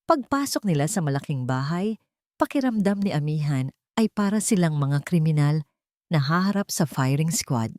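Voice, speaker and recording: A woman reading a scripted dramatic story as its narrator, in a measured, solemn storytelling manner. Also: deep voice, animated, a little mellow, no echo, studio quality.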